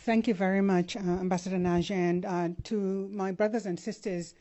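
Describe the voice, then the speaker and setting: A woman moderating a public forum, brisk and polite, reading out questions. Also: medium pitch, steady tone, dark tone, muffled recording. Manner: brisk, polite, with frequent uh fillers.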